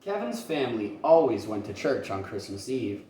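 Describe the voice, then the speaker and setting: A man's voice: lowish voice, big pitch swings, normal speed, mellow. Man reading a storybook, roomy distant sound. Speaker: kindergarten teacher reading aloud. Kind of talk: expressive children's storybook read-aloud. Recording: roomy, distant mic, faint noise.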